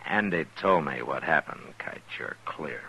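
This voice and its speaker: An actor playing a grave, loyal US marshal in an old-time radio western, speaking in a terse, measured way. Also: male voice, a bass voice, expressive, gravelly, pushed and bright, husky, full character voice. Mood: cold, firm. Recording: noisy vintage radio recording.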